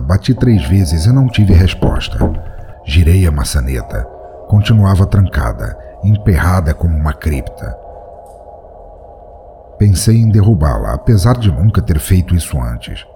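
A man narrating a first-person horror story in a measured, grave way. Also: sits low, background noise.

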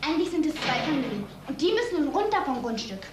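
A woman playing a lively, bossy child in a German drama. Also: high voice, quick pace, noisy background. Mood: eager, insistent. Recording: music behind the voice.

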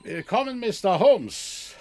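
An actor playing an older, educated, jovial country gentleman in a radio drama, with a hearty manner. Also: sits high, sing-song, takes it slowly, slight background noise, exaggerated voice. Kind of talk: German radio drama acting.

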